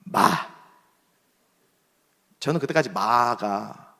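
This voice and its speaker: A young adult man preaching a sermon as pastor, emphatic, rising to strong points and dropping to soft asides. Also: mid-range voice, expressive, drawn-out words, creaky. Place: church sanctuary. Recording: long hall reverb.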